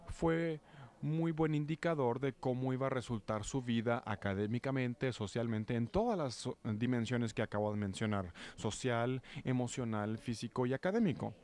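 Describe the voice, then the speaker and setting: A man working as a live interpreter of a talk, phrasing haltingly and correcting himself. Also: mid-range voice, darkish tone. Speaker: simultaneous interpreter.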